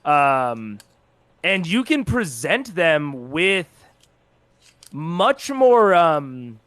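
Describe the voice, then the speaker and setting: A man's voice: fairly high pitch, very animated, drawn-out words, a bit bright, no room sound. A man speaking as an interview guest, informally explaining how he runs tabletop games. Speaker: guest, content creator and game master. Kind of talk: casual interview chat about tabletop games.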